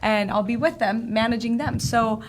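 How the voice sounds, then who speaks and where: A woman from Armenia being interviewed as a guest, telling her story in an expressive way. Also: a little high, normal speed, a little hiss or hum. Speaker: guest, entrepreneur. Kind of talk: casual interview talk.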